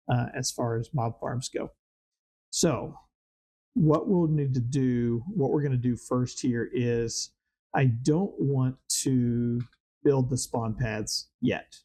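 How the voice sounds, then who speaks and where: A man hosting a Minecraft let's-play, slightly hoarse from a weather change, talking casually and rambling through his plans with lots of fillers. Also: mid-range voice, dark tone. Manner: rambling, thinks aloud with many fillers. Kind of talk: casual gameplay commentary.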